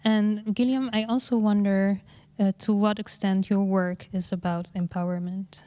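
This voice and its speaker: A woman moderating an art panel, gently posing questions to the guests. Her voice is mellow and smooth, recorded close and dry, at a middle pitch. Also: fairly even intonation, normal speed, no echo.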